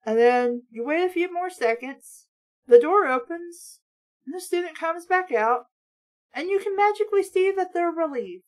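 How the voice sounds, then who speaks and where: A woman's voice: very high-pitched, lively intonation, normal speed. A woman reading a book aloud and breaking off into blunt, sweary, sarcastic commentary, with strong opinions. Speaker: host of a book read-along.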